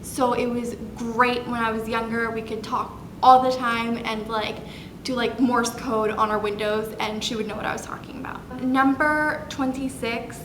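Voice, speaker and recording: A woman's voice: on the high side, a bit bright. A woman in her twenties chatting casually on a vlog, bubbly, with lots of fillers and self-corrections. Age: 20s. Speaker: vlogger. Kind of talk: casual chat listing facts. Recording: echoey room with background noise.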